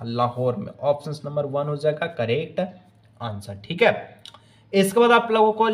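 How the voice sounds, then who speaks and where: A man with a fairly high-pitched voice teaches in a quiz format. He puts questions to his students, says them again, and then gives the answers. English phrases are mixed into his explanations. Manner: repetitive, asks each question then answers it; mixes English phrases into speech. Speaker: exam-prep teacher. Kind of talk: quiz-style lesson narration addressed to students.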